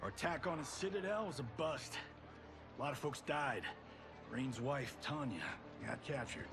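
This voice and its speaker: Man playing a brash, animated wartime army officer. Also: medium pitch, normal speed, music in the background, close to an everyday voice. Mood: frank, determined. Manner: folksy, wisecracking wartime soldier slang. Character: brash, cocky 1940s American army officer. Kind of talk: video game cutscene acting.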